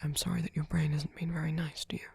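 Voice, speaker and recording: A man playing a sweet, gentle vampire boyfriend in an ASMR comfort roleplay, speaking haltingly in a breathy, husky whisper. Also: a little high, level tone, no echo, exaggerated voice. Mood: tender, reassuring.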